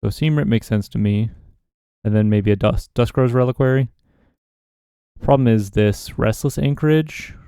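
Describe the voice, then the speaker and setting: A man's voice: mid-range voice, normal speed, smooth, dry recording, studio quality. A man giving casual commentary while playing a card game, thinking aloud about his choices. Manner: relaxed, musing, talks himself through plays. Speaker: gaming content creator.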